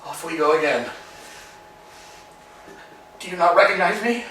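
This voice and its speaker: An actor playing an anxious, philosophizing tramp in a staged reading, swinging from hushed musing to emphatic outbursts. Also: male voice, high voice, unhurried, a little hiss or hum. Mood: pressing. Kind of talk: amateur staged play reading. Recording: echoey room, distant mic.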